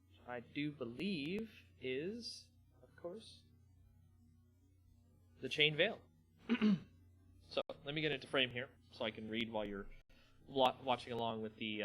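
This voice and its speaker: A man hosting a live stream in a casual, slangy, rambling way, with halting pauses. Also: mid-range voice, pushed and bright. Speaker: host of a card game channel. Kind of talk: casual live stream chat, then dramatic story reading.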